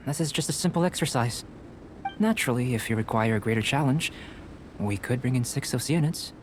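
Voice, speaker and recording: A man voicing a calm, reserved young alchemist scholar in an anime game, speaking in a measured, precise way. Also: mid-range voice, a little hiss or hum, close to an everyday voice. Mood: composed, instructive. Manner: measured, precise, composed, clinical.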